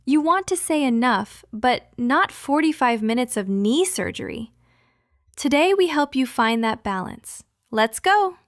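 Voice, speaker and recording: A young woman from California co-hosting an English-learning podcast, speaking clearly like a teacher and laughing often. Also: high-pitched, animated, a little slow, dry recording, studio quality. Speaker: podcast co-host.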